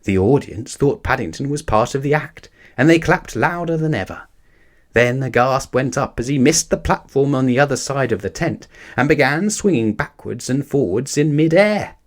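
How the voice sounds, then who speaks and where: A man reading a children's picture book aloud as an expressive storyteller for young listeners. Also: medium pitch, normal speed.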